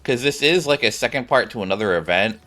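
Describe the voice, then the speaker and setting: A man's voice: mid-range voice, lively intonation, fast, bright, forceful tone, soft background music. A man streaming a video game, giving casual, rambling commentary as he reacts aloud.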